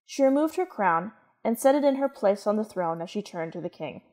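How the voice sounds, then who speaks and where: A woman narrating a scripted story in a measured, literary manner. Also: mid-range voice, animated, a little mellow.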